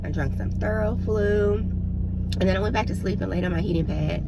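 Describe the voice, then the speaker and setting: A woman's voice: mid-range voice, normal speed, gravelly. A young woman with a stuffy, congested voice, chatting casually to her phone camera with lots of ums. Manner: chatty and candid, with frequent ums. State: congested from a cold. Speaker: vlogger. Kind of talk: casual vlog chat. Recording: lo-fi phone audio with loud car noise.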